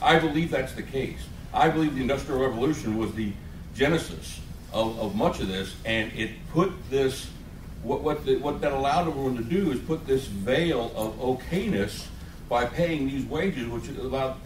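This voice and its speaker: A man's voice: medium pitch, moderate pace. A man in the audience asking a long, rambling question, joking about himself. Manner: rambling, self-deprecating, laughs while talking. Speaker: audience member. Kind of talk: question from the floor. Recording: distant in an echoey room with clear noise.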